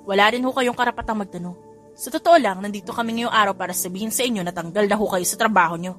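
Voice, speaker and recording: A woman's voice: medium pitch, fast, a bit bright, soft background music, lightly acted. Woman narrator doing a firm, formal male assistant, close to her own voice. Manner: polite but firm, formal address.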